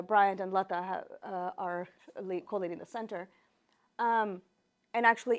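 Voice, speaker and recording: A woman's voice: medium pitch, gravelly. A woman speaks as a professor taking a class through slides, and her first language is Gujarati. Her delivery is informal and conversational. She often corrects herself mid-phrase and turns to her listeners with rhetorical questions. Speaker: professor, researcher lecturing. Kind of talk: informal academic lecture with slides.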